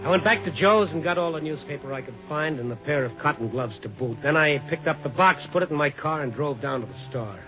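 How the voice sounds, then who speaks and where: An actor with an American accent playing a weary, wry newspaper reporter in a 1950s radio drama, both narrating and talking in scenes. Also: male voice, fairly high pitch, expressive, fairly quick, few pauses, close to an everyday voice. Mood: grave narration. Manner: wry, hard-boiled. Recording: dull 1950s radio recording with music.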